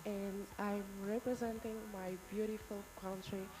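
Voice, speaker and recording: A woman's voice: medium pitch, level tone, unhurried, noisy background. A nineteen-year-old woman from São Tomé and Príncipe, introducing herself hesitantly and searching for words. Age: teens. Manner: hesitant, with fillers. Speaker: pageant contestant.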